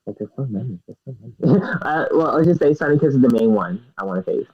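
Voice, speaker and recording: A young man answers interview questions in a hesitant, giggly way, often pausing on fillers before he settles on an answer. His pitch is middling, and the recording sounds dull and lo-fi. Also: expressive, husky. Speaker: guest wrestler. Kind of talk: casual interview.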